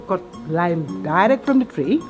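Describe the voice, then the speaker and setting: A woman's voice: on the low side, lively intonation, unhurried. A middle-aged woman with a Gambian accent, explaining cooking and local life as she hosts. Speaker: host, cook and charity founder. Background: background music.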